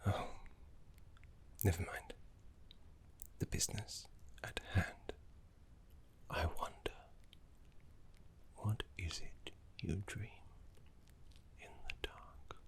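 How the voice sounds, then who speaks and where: A man playing a genteel, fussy, courteous professor of necromancy in an ASMR roleplay, speaking in a soft, breathy, formal way with dramatic pauses. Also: deep voice, steady tone, full character voice. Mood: fussy, flustered.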